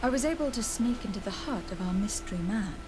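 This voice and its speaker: A composed young noblewoman adventurer in a fantasy game, played by an actress. She talks in a measured, formal way at medium pitch. Noisy ambience runs clearly behind her. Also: lively intonation, lightly acted. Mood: grave. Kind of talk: video game voice acting.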